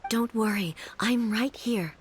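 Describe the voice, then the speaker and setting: A woman playing a composed, regal ruler in a video game, speaking in a measured, formal and solemn manner. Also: a little high, a little hiss or hum. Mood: soothing, solemn.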